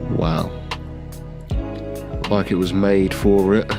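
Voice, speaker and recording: A deep-voiced man talks slowly and easily through a vlog, a little nasal from a cold. Music plays clearly underneath. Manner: relaxed, enthusiastic product talk with fillers. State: has a cold. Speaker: optician showing a frame job. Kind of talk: casual workshop vlog narration.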